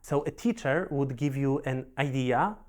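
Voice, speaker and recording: Polish-accented young man, halting speech. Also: medium pitch. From Poland. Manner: halting, repeats words, frequent fillers. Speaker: professional Go player. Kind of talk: relaxed personal storytelling.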